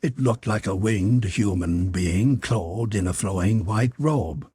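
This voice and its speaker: A cool-headed leader of men in an adventure audiobook played by a male narrator. He is measured and commanding, with a lowish, very husky voice in a dry recording. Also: dark tone, no echo, heavily acted. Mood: calm, reflective. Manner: measured, matter-of-fact, commanding. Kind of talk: audiobook character voice.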